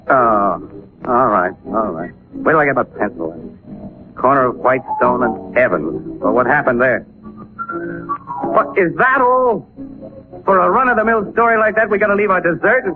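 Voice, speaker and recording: An actor playing a wisecracking, world-weary newspaper crime photographer in 1940s radio drama, brisk and slangy. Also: male voice, on the high side, expressive, music in the background, close to an everyday voice. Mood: grumbling. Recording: muffled vintage 1940s radio recording.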